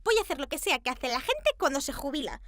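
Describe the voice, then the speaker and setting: A woman's voice: high voice, very animated, quick pace, fairly bright tone. A woman voicing a grumpy, sulky young witch in a children's cartoon, with curt delivery. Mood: sulky, defeated. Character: grumpy young witch princess who fancies herself evil.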